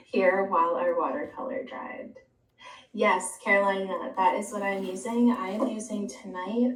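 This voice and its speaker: A woman hosting a live online art class, chatting softly and answering viewers while she draws. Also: mid-range voice, a little hiss or hum. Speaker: host and art instructor. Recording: roomy, distant live-stream audio.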